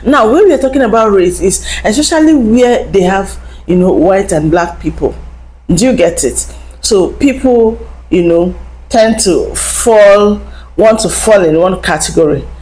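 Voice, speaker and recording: A woman's voice: medium pitch, expressive, unhurried, darkish tone, rough recording. A woman hosting a talk show, explaining to viewers in a lecturing way and asking rhetorical questions. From Africa. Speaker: host.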